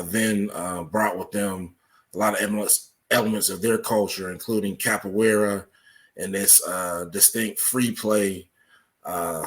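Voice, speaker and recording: A man speaking as an interview guest, explaining things conversationally in measured, halting short phrases. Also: fairly low pitch, a bit bright. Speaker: interview guest and academic researcher.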